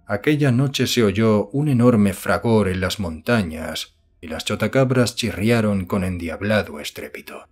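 A man's voice: lowish voice, a little mellow, breathy edge. A man narrating a horror audiobook as scripted, solemn literary storytelling.

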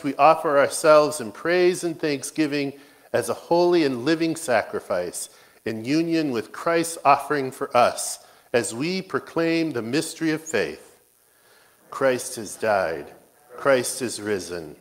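A man serving as pastor, reciting a communion liturgy in a measured, solemn way in a church. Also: medium pitch. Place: church sanctuary.

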